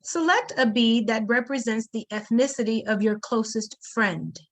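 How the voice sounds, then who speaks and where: A woman's voice: on the high side, normal speed. A woman leading a group workshop over a video call, firm and directive. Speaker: workshop facilitator.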